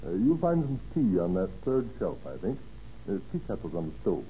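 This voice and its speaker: An actor playing a polite, fidgety landlord with a hesitant, apologetic manner in an old-time radio drama. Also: male voice, deep voice, very animated, normal speed, warm tone. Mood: polite, uneasy. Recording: dull, noisy old radio recording.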